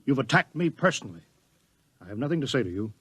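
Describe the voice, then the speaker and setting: An actor playing a proud senior astronomer in a radio drama, curt, haughty and scolding. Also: male voice, medium pitch, very animated, quick pace, a bit bright, slightly rough, no echo. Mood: angry.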